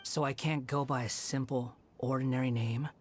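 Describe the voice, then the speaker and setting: A man's voice: mid-range voice, fairly even intonation, moderate pace, slight background noise, lightly acted. Man voicing a snide, aloof young wanderer. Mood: curt. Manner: snide, dismissive. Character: aloof, sardonic young male wanderer, prickly and taunting. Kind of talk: video game voice acting. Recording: music behind the voice.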